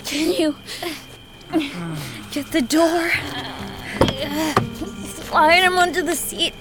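A woman's voice: very high-pitched, steady tone, extremely slow, full character voice. An actress playing a level-headed young woman who stays practical and urgent. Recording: audio drama with music beneath.

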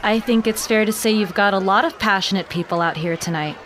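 A woman's voice: medium pitch, brisk, noisy background, natural voice. A woman playing an eager, polite TV news reporter, giving urgent, articulate live reporting in an audio drama. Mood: probing.

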